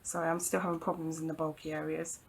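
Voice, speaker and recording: A woman demonstrating a craft project at her home craft table, croaky from hay fever, talking in a chatty, reassuring way with frequent ums and apologies. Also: low-pitched, little pitch movement, fast, a little hiss or hum, low-quality recording. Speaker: craft tutorial host. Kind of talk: casual hands-on craft demonstration.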